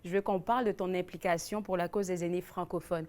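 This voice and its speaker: A woman's voice: lowish voice, fairly even intonation, a little mellow. A woman hosting a community talk show, introducing guests and interviewing in a friendly, conversational way. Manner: friendly, conversational, quick to affirm. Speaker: TV show host.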